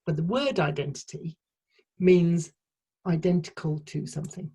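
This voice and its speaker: A woman from Sheffield with a somewhat low, husky voice talks through her ideas at length. She is thoughtful and conversational, often restarting her sentences as she goes. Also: steady tone, moderate pace, dark tone. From Sheffield, England. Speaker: podcast guest. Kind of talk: casual conversational interview.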